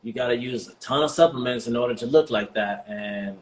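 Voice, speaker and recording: A man in his forties, born in the US and partly raised in Jamaica, answering interview questions as a guest in a relaxed, reflective way with many fillers. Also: medium pitch, brisk, slight background noise. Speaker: guest, vegan bodybuilder.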